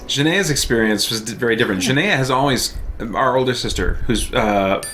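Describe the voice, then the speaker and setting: A man streaming video games, chatting casually in a loud, expressive way. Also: medium pitch, fairly quick, slight background noise. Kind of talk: casual chat on a livestream.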